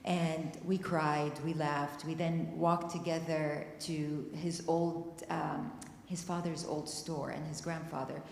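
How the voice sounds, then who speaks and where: Woman, lowish voice, heartfelt personal account, booming church hall with long reverb. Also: steady tone, takes it slowly, creaky, hardly any pauses. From Palestine. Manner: emotional, near tears, earnest. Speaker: panel speaker. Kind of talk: personal story at a community discussion. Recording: echoey hall, faint noise.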